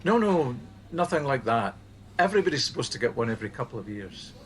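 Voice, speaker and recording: A man playing a firm, measured team supervisor. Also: medium pitch, very animated, a little hiss or hum, close to an everyday voice. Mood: careful. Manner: measured, tactful, insistent. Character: earnest, firm male supervisor of a helpline team. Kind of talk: naturalistic radio play acting.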